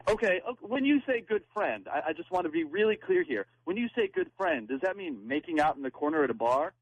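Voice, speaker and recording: A man confronting his partner on a radio show, halting and accusatory, often repeating his words. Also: a little high, animated, no echo, rough recording.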